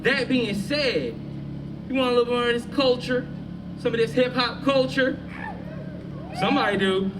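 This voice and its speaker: Man, live-show host, loud crowd-hyping shouts, roomy echo, far from mic. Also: extremely high pitch, moderate pace, pauses often, background noise. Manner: loud call-and-response shouting. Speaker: host and emcee. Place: outdoor stage, urban.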